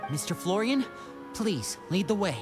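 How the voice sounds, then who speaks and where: A woman's voice: mid-range voice, sing-song, a little slow. A woman playing a polite, decisive young archer magician, with game music behind. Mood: resolute.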